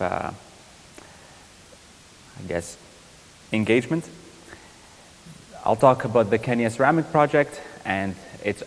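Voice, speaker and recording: A young man from Iraq, a surgical resident giving a university guest lecture in a thoughtful, measured way, often pausing with ums and restarting sentences. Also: on the low side. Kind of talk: academic lecture. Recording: lecture hall reverb.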